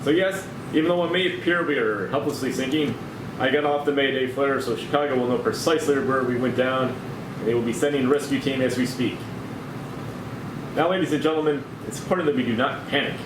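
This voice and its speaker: An earnest airline captain with a formal, deadpan manner and middling pitch, played by a man at a comedy table read. The room echoes and there is clear noise behind him. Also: a little fast, close to an everyday voice. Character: earnest, absurdly calm male airline captain.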